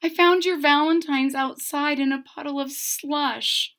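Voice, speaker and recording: A woman voicing a caring, brisk mother turtle in a storybook, with an expressive motherly manner. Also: high voice, voice pushed up. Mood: sorry.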